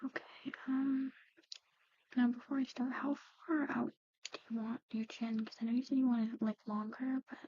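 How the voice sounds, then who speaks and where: Woman, ASMR roleplay, whispery soft speech, higher-pitched, halting and conversational, slightly dull recording. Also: steady tone. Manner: hesitant, halting, gently conversational.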